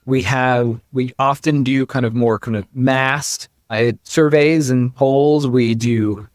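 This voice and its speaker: A man's voice: medium pitch, fairly even intonation, slow, dry recording, clean studio sound. A man speaking as a company founder and interview guest, explaining conversationally and emphatically, often dropping in "like" and "you know".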